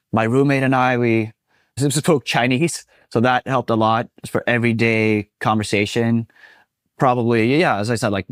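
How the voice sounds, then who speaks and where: A man's voice: mid-range voice, no room sound, studio quality. A young man from Sweden, a guest speaking casually in an interview with many fillers. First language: Swedish. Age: 20s. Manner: relaxed and chatty, many fillers like "you know".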